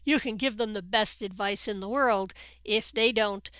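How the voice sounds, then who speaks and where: A woman's voice: mid-range voice, normal speed, a bit bright, clear, resonant tone, dry recording, studio quality. A woman hosting a podcast interview, talking with enthusiasm and often repeating words as she thinks. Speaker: podcast host. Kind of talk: conversational interview.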